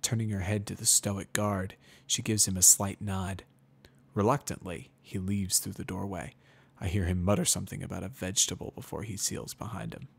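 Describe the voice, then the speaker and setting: A man narrating a first-person story as a dramatic reading, in a measured, brooding manner. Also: low-pitched, gravelly, slightly rough.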